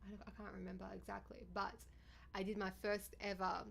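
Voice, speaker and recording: A woman with a deep, raspy voice, chatting casually with viewers on a livestream. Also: monotone, a little fast, fairly bright tone. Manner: chatty, bubbly, thanks viewers often. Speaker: livestreamer and YouTuber.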